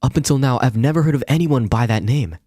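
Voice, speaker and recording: A man's voice: medium pitch, lively intonation, quick pace, dark tone, no echo, voice pushed up, natural voice. Guy doing a worried polite young lady for a visual novel, voice lifted up, apologetic and formal. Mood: troubled, earnest. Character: anxious, apologetic young woman client, polite and troubled. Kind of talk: acted visual novel dialogue.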